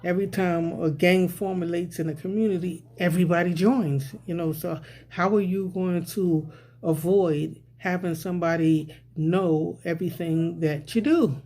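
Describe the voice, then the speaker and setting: A man's voice: sits high, fairly even intonation, moderate pace, darkish tone. A man vlogging a rambling, casual social commentary, often repeating "you know what I'm saying.".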